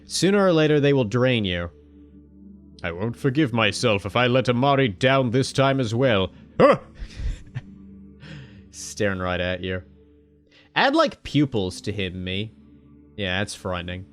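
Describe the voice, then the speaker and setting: A man at a middle pitch hosts a casual let's-play, reading game text aloud between irreverent jokes. He swears offhandedly and now and then jumps into loud, high-pitched outbursts, with soft music playing behind him. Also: sing-song, a little slow, long pauses. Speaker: gaming video host.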